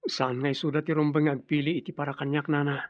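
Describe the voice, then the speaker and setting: A man's voice: on the high side, flat delivery, quick pace, no room sound, lo-fi sound, close to an everyday voice. A man with a Filipino accent playing an earnest, dutiful grown son and suitor in an Ilocano radio drama. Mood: earnest, respectful.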